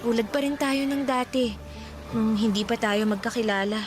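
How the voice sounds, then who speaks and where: A woman's voice: fairly high pitch, normal speed, music in the background, natural voice. A woman playing an earnest, practical young schoolgirl in a romantic radio drama, caught in her first puppy love. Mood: gently resigned.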